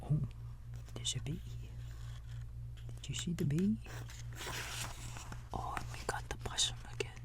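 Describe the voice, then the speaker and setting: A man playing a gentle, big-brotherly raccoon babysitter in a bedtime ASMR roleplay, reading a storybook in a soft, breathy whisper with simple, tender asides. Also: a little high, natural voice. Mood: tender, playful. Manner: tender, slow, folksy, childlike simple wording.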